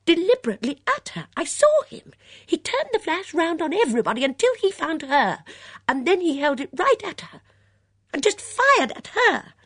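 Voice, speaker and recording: Audiobook role: excitable, dithering older woman voiced by a female reader, high, breathy, very animated, close dry sound. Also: normal speed, long pauses, no room sound. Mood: breathless, insistent. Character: fluttery, anxious, stubborn older woman companion. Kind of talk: audiobook character dialogue.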